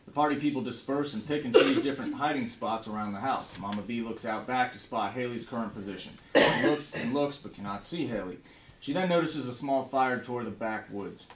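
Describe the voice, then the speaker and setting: A man's voice: mid-range voice, brisk. A man leading a film table read as writer-director in an informal way, talking casually and reading script directions aloud. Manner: informal, profane. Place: meeting room with a conference table. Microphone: distant camera microphone. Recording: roomy, distant camera mic, background noise.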